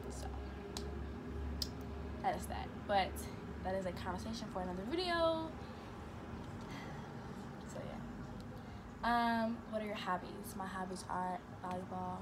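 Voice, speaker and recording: A young woman from Chicago who talks fast and stutters, reading get-to-know-me questions aloud and answering them in a casual vlog. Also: mid-range voice. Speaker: vlogger. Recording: noisy room ambience.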